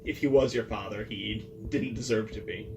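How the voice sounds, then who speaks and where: A man chatting casually as a player in a tabletop game, crude and jokey, quick to laugh. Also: lowish voice, creaky. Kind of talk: casual joking chat. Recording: somewhat distant mic, music behind. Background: ambient music.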